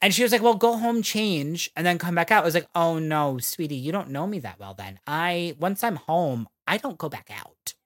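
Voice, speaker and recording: A woman's voice: lowish voice, animated, moderate pace, hardly any pauses. A woman in her thirties co-hosting a podcast, ranting about reality TV with lots of swearing and acted-out quotes. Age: 30s. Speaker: podcast co-host. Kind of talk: casual reality-TV recap chat.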